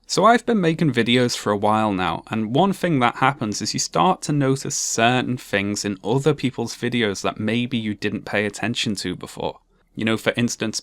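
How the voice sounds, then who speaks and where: A young man with a monotone, dryly sarcastic delivery, narrating a commentary video essay. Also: on the low side, smooth, no echo.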